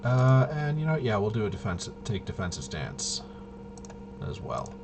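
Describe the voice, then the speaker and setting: A man thinking aloud through a tabletop game walkthrough, casually muttering to himself in a halting way and trailing off. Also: lowish voice, sing-song, vocal fry, noisy background. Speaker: solo tabletop RPG player demonstrating gameplay.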